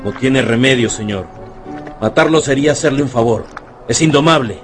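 A male actor voices a cautious, pessimistic helper in a radio play. He speaks at medium pitch, deferential yet doubtful, over clearly heard music. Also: normal speed, lightly acted. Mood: grim, resigned. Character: cautious, pessimistic male assistant, respectful to his boss. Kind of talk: radio drama acting.

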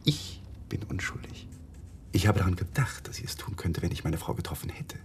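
An actor playing a guilt-ridden, stubborn young lawyer in a radio crime drama, speaking earnestly. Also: male voice, low-pitched, animated, fairly quick, in a whisper. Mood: anguished, confessional.

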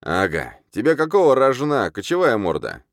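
A man voicing a gruff, bullying, drunken newspaper editor who barks curtly and with authority in a comic audiobook. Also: medium pitch, huge pitch swings, normal speed, dry recording, studio quality, pitched above the performer's own voice. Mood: drunken scorn. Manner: barking, curt, authoritative.

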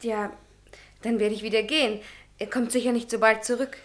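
A woman's voice: fairly high pitch, fairly even intonation, fairly quick, natural voice. An actress playing a young female student in a radio drama, courteous and lightly teasing. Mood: polite. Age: young adult. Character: polite, playfully frank young female student.

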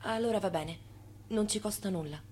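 Radio play: female actor as a schemer's skeptical, long-suffering wife, breathy-rough voice, pointed delivery. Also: medium pitch, moderate pace, darkish tone, natural voice. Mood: wary, resigned. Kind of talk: theatrical radio play dialogue.

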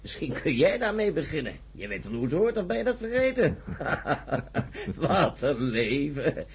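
A man playing a bluff, jovial old professor in a radio drama, with a raspy, gravelly voice and a blunt, lecturing manner. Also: on the high side, big pitch swings, measured pace, a little hiss or hum. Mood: jovial, bustling. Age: older. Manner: bluff, chuckling, lecturing, peppered with interjections.

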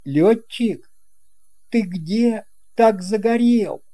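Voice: medium pitch, drawn-out words, dark tone, soft background music, pitched above the performer's own voice. A narrator voicing a curious, polite little boy in a storybook, earnest and questioning.

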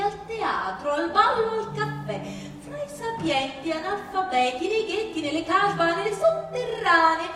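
A woman giving a theatrical literary reading as a stage performer. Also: sits high. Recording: very echoey distant hall recording with music. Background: music.

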